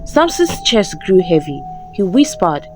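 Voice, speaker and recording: A woman's voice: mid-range voice, very animated, a little slow. A woman storyteller giving a scripted, dramatic narration of a folktale. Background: background music.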